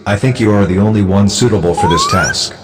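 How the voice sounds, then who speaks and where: A low-voiced, animated lion hero acted by a man for a children's bedtime story. He is kindly and resolute, talking simply to little ones, with music clearly behind him. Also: brisk, big-room sound. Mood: rousing, resolute. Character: kindly heroic father lion who rescues animals.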